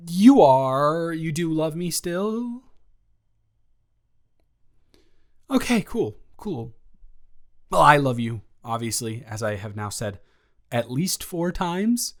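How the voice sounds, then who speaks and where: A man's voice: a little high, animated, takes it slowly. A young man playing a lovestruck roommate in an intimate audio roleplay, earnest, rambling and stammering as he nervously confesses his feelings. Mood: shy, giddy. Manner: rambling, stammering, earnest, awkwardly self-deprecating.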